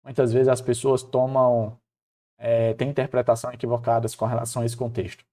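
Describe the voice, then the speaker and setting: Mellow Northeastern Brazilian man teaching Scripture. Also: medium pitch, fairly quick. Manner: expressive, acts out dialogue colloquially, rhetorical questions. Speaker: Bible lesson presenter. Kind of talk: informal devotional teaching with storytelling.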